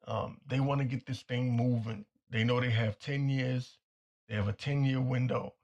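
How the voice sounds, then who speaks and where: A man hosting a talk show with a hoarse, nearly gone voice, delivering a blunt and insistent commentary monologue that repeats its points. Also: medium pitch, fairly even intonation, moderate pace, darkish tone, dull audio. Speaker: podcast host.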